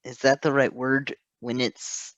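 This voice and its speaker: A man's voice: medium pitch, level tone, a little slow, fairly bright tone, dry recording. A man hosting in a casual chat style, enthusiastic and prone to rambling. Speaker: host.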